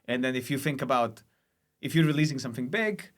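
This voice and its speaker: A man's voice: mid-range voice, expressive, a little fast. A man from Lithuania, a comedian guest chatting casually and reflectively in a conversational way. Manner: reflective, conversational, frequent 'like' and 'you know'. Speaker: stand-up comedian, podcast guest. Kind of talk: casual chat.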